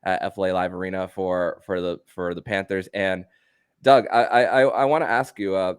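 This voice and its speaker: Deep voiced guy hosting a sports podcast, kinda halting, repeats himself a bit. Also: steady tone, clear, resonant tone. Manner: halting, repeats words when asking questions. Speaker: podcast host.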